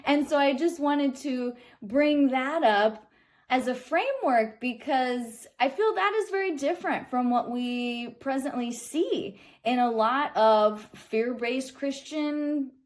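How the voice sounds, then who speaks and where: A woman's voice: high-pitched, slow. An American woman in her thirties giving an informal spiritual reflection, earnest and emphatic, often checking in with the listener. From Kansas City, United States. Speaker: spiritual speaker and host.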